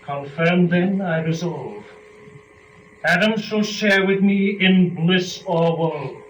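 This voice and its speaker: Young fallen woman, rapturous and scheming; epic verse drama, very slow delivery, distant noisy recording. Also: high-pitched. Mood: fearful, resolved. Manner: measured, theatrical, deliberate phrasing. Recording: noisy, dull, echoey room from a distance.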